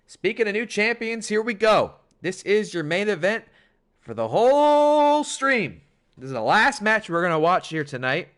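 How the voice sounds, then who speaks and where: A hoarse man commentating a wrestling video game on a stream, with animated, chatty hype. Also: sits high. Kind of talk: play-by-play commentary.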